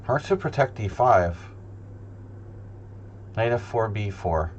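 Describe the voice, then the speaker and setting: Slow-talking man, dull humming recording. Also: on the low side, animated. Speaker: chess streamer. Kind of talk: thinking-aloud chess commentary, casual. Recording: dull lo-fi sound, loud hum.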